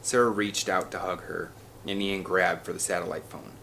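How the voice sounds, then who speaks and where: A man's voice: low-pitched, steady tone, normal speed, gravelly, bright, forceful tone, slight background noise. A man reading a children's adventure novel aloud with an emphatic, theatrical delivery. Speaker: audiobook reader. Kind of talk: dramatic scripted narration of a children's adventure novel.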